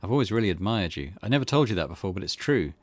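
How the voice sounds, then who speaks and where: Man voicing older male womaniser, visual novel, self-pitying, flattering, coaxing, low pitch, very dry. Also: very fast, no echo.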